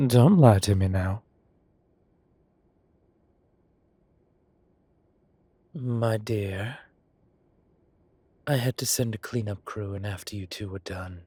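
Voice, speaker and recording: A suave gentleman boss, acted by a man for an audio roleplay, teasing and theatrical. His voice is husky and breathy, and he drawls very slowly with arch relish. The recording is close and dry. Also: fairly low pitch, no room sound. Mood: teasing, sly. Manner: drawling, arch, playfully dramatic. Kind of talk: scripted intimate roleplay acting.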